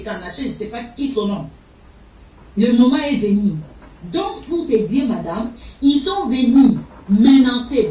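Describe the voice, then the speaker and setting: A woman's voice: on the high side, mellow. An older woman from Congo, speaking fiercely and repetitively in an interview, sometimes stumbling over repeated words. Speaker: political activist. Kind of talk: impassioned interview answers. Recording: echoey, distant, dull sound.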